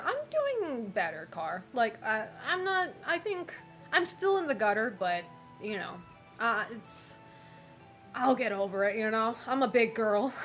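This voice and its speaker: A young woman streamer in casual chat, frank and talkative, laughing often and filling pauses with "like" and "um". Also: fairly high pitch, a bit bright. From United States and Japan. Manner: chatty, frank, laughs often, many fillers. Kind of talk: casual chat reading viewer messages.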